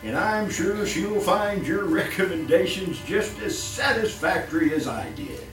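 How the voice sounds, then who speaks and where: Giggling, sinister radio-horror villain, swooping voice. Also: male voice, high voice, animated, a little slow, a bit bright, roomy sound, far from the mic, faint music behind, low-quality recording. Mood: oily, nervous. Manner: fussy, stammering, repetitive, chuckling. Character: sinister, giggling, murderous master of the house. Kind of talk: 1930s radio horror acting. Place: live stage performance in a room.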